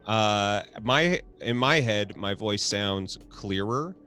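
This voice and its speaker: Man, host, casual chat, low voice, laid-back, faint music behind. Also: animated, slow pace, pushed and bright. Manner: laid-back, swears casually, laughs often.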